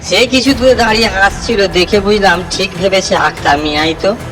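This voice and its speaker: A man's voice: high voice, a little fast, music in the background, natural voice. A man playing a frightened village fisherman who recounts a ghostly night in a suspenseful horror audio story. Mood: fearful, suspenseful.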